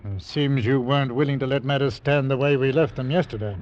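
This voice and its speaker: An actor playing a gruff, cautious ship captain in an old-time radio drama, speaking in a curt, stern, clipped way. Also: male voice, medium pitch, brisk, a little hiss or hum, close to an everyday voice. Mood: grudging. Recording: muffled vintage radio recording.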